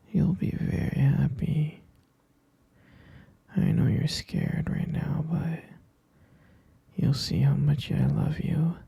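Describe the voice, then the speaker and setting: A man voicing an obsessive, sweetly possessive kidnapper in an ASMR roleplay, talking in a soft, clingy, soothing whisper that keeps insisting. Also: a little high, creaky, breathy edge, no echo, exaggerated voice.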